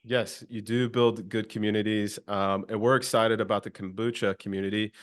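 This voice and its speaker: A man's voice: on the low side, little pitch movement, moderate pace. A man hosting a casual interview, speaking in an upbeat, informal way with frequent repeated words. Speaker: interview host.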